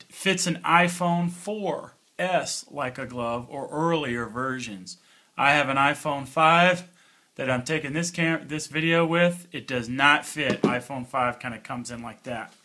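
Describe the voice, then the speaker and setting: A man with a slightly high, bright voice walks through a product like a hobbyist reviewer. His delivery is informal and plainspoken, with a folksy, hands-on feel. The tone has a forceful edge. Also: a little slow. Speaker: product reviewer, channel host. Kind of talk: casual hands-on gear review.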